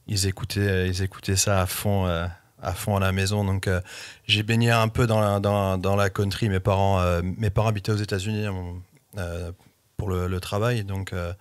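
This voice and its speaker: A man's voice: low-pitched, little pitch movement, moderate pace. A middle-aged man raised in England, English his first language, chatting in an interview as a guest singer-songwriter, with many fillers and restarts. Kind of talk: casual interview chat.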